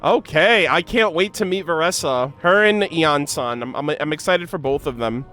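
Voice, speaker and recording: A young man giving casual, excited reaction commentary in slangy, gushing, animated fan talk. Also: a little high, normal speed, faint music behind. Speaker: reaction video creator.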